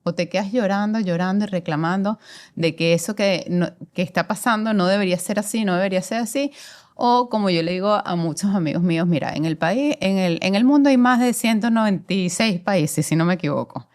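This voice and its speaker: A woman's voice: mid-range voice, fairly quick, darkish tone. A woman in her forties with a Venezuelan accent, a guest telling her career story in a reflective way, with many fillers. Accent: Venezuelan Spanish. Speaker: guest, commercial director. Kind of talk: conversational interview.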